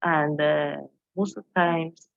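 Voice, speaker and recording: A woman's voice: low voice, fairly even intonation, slow pace, gravelly, no echo, lo-fi sound. A woman from Cameroon, an English teacher, giving an earnest online presentation and posing questions to her audience, with frequent fillers.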